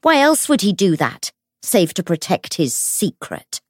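A woman voicing a menacing, raging noblewoman in an audiobook, with a hoarse, hissing, theatrically accusing delivery. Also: lowish voice, big pitch swings, unhurried, no echo, studio quality.

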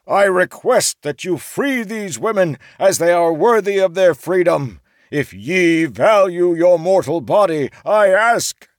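Man doing a high, declamatory mock hero. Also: animated, a little slow, few pauses, dry recording, studio quality. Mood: earnest declaiming. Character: mocking male adventurer playing at grand heroic oratory. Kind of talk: audiobook character acting.

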